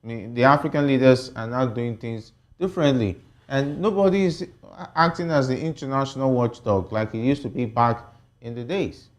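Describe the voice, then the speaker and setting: A man's voice: medium pitch, moderate pace. A man moderating a political discussion as a television host. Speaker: TV talk show host.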